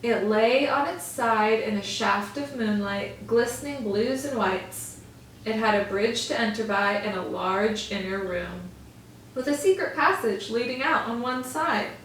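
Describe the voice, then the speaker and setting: Slow, expressive woman reading a story aloud. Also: fairly high pitch, slight background noise. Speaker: first grade teacher. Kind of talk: reading a picture book aloud. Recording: echoey room, far from mic.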